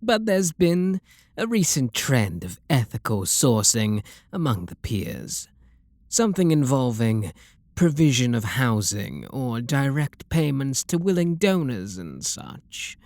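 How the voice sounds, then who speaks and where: A man playing a refined, possessive vampire lord with a British accent in an ASMR roleplay, speaking softly and deliberately in a genteel, flirtatious manner. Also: mid-range voice, big pitch swings, dry recording. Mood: weary disdain.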